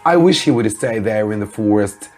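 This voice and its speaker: A man voicing an opinionated old woman innkeeper in a storybook, with slow, emphatic delivery. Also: fairly low pitch, lively intonation, a little mellow, soft background music, pitched above the performer's own voice, natural voice. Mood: wishful, disapproving.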